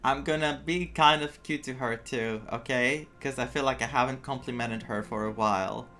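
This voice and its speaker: A man's voice: medium pitch, steady tone, moderate pace, bright, forceful tone. A man giving casual playthrough commentary, thinking aloud with joking asides. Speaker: gaming content creator. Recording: game music behind voice.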